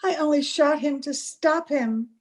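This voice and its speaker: Brief: haunted young female witness, acted by a woman, high pitch, pleading, melodramatic radio-horror delivery. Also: a little slow. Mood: pleading, anxious. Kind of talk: old-time radio horror acting. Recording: home video-call recording.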